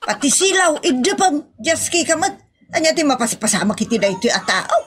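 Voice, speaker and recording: A woman's voice: high voice, big pitch swings, a little fast, a little hiss or hum. A woman playing a scolding, exclamatory wife with an Ilocano accent in a comedy audio drama. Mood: alarmed.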